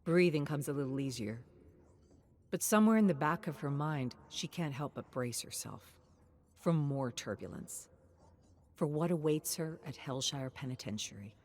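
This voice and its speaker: A woman's voice: low-pitched, lively intonation, moderate pace, darkish tone, a little hiss or hum. A woman reading scripted, dramatic story narration.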